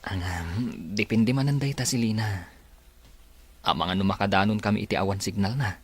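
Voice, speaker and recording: A man's voice: fairly low pitch, normal speed, breathy edge. A man with an Ilocano accent acting an earnest, resigned farm worker and devoted sweetheart in a radio drama. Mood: tender, sad.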